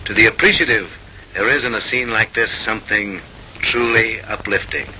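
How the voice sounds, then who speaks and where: Bright, arch male narrator on noisy old film. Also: on the low side, normal speed. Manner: arch, mock-refined, theatrical delivery. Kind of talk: mid-century comic newsreel-style narration.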